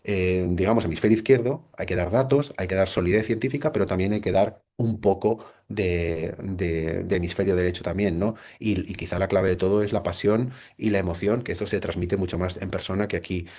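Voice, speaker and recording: A man's voice: low-pitched, brisk, hardly any pauses, dark tone. A middle-aged man from Spain with a Spanish accent, giving a reflective recorded lecture to a computer, full of rhetorical questions and restarts. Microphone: computer mic.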